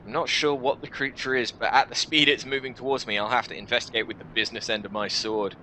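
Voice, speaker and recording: A man hosting a gaming video, reading a visual novel aloud in an expressive, theatrical way with casual asides. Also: medium pitch, fast talker, slight background noise, rough recording.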